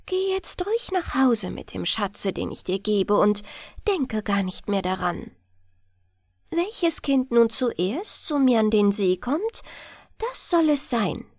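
A woman's voice: on the high side, sing-song, takes it slowly, darkish tone, no room sound, clean studio sound, heavily acted. A woman voicing a coaxing, bargaining, slightly testy female water nixie in a fairy-tale audiobook. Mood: persuasive. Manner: persuasive, wheedling.

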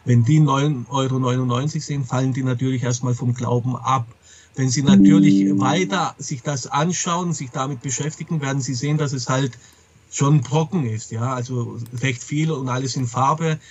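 A middle-aged man speaks as an interview guest in a casual, candid way. His voice is a little husky and sits at an average pitch. He often hesitates and rephrases as he goes. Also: steady tone, moderate pace, darkish tone, muffled recording. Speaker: comic publisher being interviewed. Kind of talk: casual interview talk about a trade.

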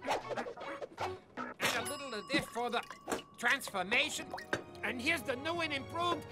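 A man's voice: extremely high pitch, lively intonation, takes it slowly, exaggerated voice. A man playing a grumpy, jokey old magical creature in a cartoon, talking in wisecracking slang over music. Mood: cocky, wisecracking. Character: grumpy, jokey male magical shape-shifting creature, getting on in years. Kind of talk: animated comic sidekick acting. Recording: loud soundtrack music.

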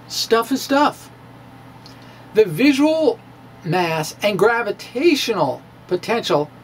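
A middle-aged man giving a dramatic, emphatic physics lecture to camera in a halting, theatrical delivery. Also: high-pitched, a little hiss or hum. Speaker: science video blogger. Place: indoor room with bookshelves. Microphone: handheld-style microphone on a stand, close in front.

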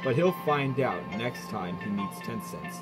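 A man's voice: mid-range voice, lively intonation, a little slow, loud music behind the voice, natural voice. A man playing the storybook narrator of a children's cartoon parody, telling the tale in a scripted style. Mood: measured.

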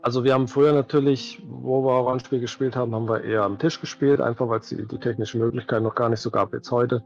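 A middle-aged man talks casually in a gravelly, medium-pitched voice. He sounds slightly dull, as on an online call. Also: fairly even intonation, fast. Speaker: guest game master. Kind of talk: casual chat. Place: indoor room, on a video call. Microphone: headset on a video call. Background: background music.

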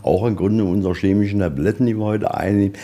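A man's voice: lowish voice, fairly even intonation, fast, mellow. A man explaining exhibits informally as a museum guide, conversational and a little rambling.

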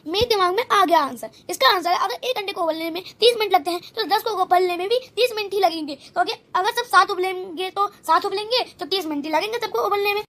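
A woman's voice: very high voice, a little fast, few pauses, a bit bright. A woman voicing a confident male contestant who solves riddles in a cartoon quiz. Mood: confident, eager. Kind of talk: scripted cartoon quiz answers.

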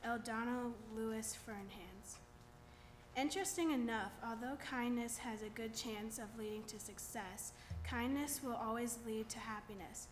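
A woman's voice: fairly high pitch, unhurried, soft background music. Young woman reading quotations at church. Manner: reads quotes then names the author. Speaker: reader. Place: church sanctuary at a lectern. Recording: echoey church room.

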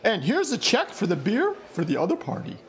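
A man playing a deadpan nightclub waiter with dry politeness in an old-time radio comedy. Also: high voice, brisk, full character voice. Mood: dry, polite.

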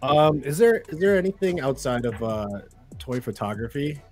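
A man's voice: mid-range voice, expressive, moderate pace, soft background music. A man co-hosting an interview on a video call, talking casually and enthusiastically in a rambling way. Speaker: co-host. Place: home studio, video call.

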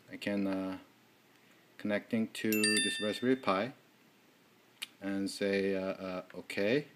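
A man giving an informal hands-on tech tutorial in halting, non-native English. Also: on the low side. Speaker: tech demonstrator. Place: indoors at a desk.

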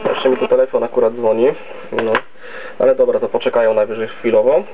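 A man's voice: medium pitch, little pitch movement, a little fast, slight background noise. A man chatting in a rambling, casual way as an amateur radio operator, heard through narrow CB radio audio. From Poland. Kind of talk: casual radio contact chat. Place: home base station.

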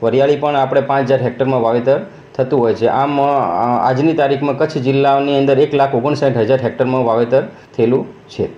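A man's voice: mid-range voice, fairly even intonation, fast talker. A man, an official giving figures in an informal interview statement. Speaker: district agriculture officer. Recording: echoey room, dull muffled sound.

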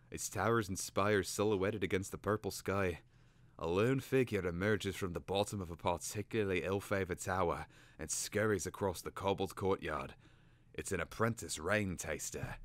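A man's voice: low voice, no room sound. A man voicing a haughty, irascible talking bird in a storybook, indignant and grandiloquent in manner.

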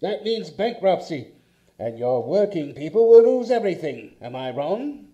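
A man's voice: high voice, big pitch swings, normal speed, dark tone. A man playing a greedy, scheming old banker in a community radio play, smug and menacing, heard over a dull phone line.

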